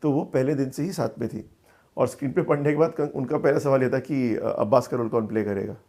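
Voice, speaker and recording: A man giving conversational interview answers about his work as a filmmaker, speaking in a halting, thoughtful way and mixing in English phrases. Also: medium pitch, warm tone. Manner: halting, thoughtful, with repeated words and pauses; mixes English phrases into Hindi.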